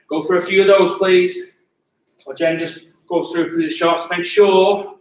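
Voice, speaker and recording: Late-forties male coach, high voice, drills cues in an echoing gym, mic well away from him. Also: a bit bright. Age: 40s. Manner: drills moves with short repeated cues, self-deprecating asides. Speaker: Muay Thai coach. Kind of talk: live online class instruction. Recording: echoey gym from a distance.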